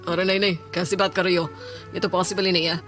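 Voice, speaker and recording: A performer voicing a dismissive, irritable young husband in an animated story, brusque and defensive. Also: medium pitch, quick pace, natural voice. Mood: irritated. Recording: music under the voice.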